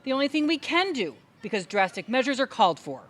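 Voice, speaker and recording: A woman's voice: high-pitched, very animated, fast talker. A woman playing an indignant, crusading adult sister in a comedic audio play, speaking in a dramatic, emphatic, speechifying way. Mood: rousing, resolute. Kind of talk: comedic audio theatre acting.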